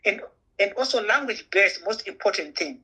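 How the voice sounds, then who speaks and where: Husky, forceful woman. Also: medium pitch, lively intonation, normal speed. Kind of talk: halting interview answer, non-native English.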